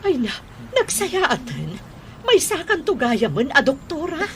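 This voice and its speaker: Lively, effusive Ilocano schoolteacher acted by a woman. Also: high-pitched, measured pace, a little hiss or hum, full character voice. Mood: delighted, teasing. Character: chatty, effusive former schoolteacher greeting an old pupil. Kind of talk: Ilocano radio drama acting.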